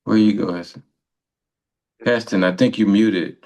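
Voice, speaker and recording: A man's voice: fairly low pitch, fairly even intonation, measured pace, darkish tone. A man hosting a radio interview in casual, rambling chat, often breaking off and rephrasing his sentences. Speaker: radio show host.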